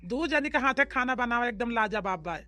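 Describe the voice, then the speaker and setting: A woman plays a high-voiced village in-law from a family comedy cartoon. The speech has an Awadhi accent and a folksy, emphatic manner, circling back over its points. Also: animated, normal speed, sharp tone. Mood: delighted.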